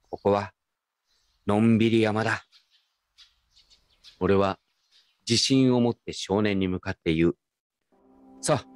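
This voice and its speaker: A man's voice: lowish voice, darkish tone, dry recording, lightly acted. A man playing a wry, nostalgic grown grandson, telling his story casually and reflectively in a radio drama. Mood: confident. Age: adult. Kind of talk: first-person radio drama narration and dialogue.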